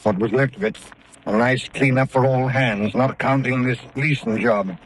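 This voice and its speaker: An actor playing a gruff smuggler ship captain in a 1930s radio drama. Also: male voice, mid-range voice, steady tone, normal speed, natural voice, rough recording. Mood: blustering. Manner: gruff, blustering. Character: hard-bitten male smuggler ship captain. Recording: faint hiss of old radio broadcast.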